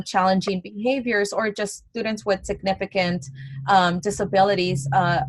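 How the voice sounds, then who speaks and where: Dry-sounding woman lecturing, chatty and halting. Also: medium pitch, no echo, poor audio. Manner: conversational with many fillers and restarts. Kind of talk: college lecture.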